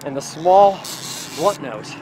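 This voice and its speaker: Brisk man calling out on a fishing boat. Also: on the high side, lively intonation, noisy background. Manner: brisk, calls out instructions. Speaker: boat captain and fishing partner. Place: open boat out on the ocean.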